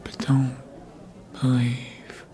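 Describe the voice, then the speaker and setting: A performer voicing a sly, seductive, manipulative vampire in a breathy ASMR whisper, coaxing slowly and deliberately as if hypnotizing. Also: medium pitch, completely flat delivery. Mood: soothing, sly.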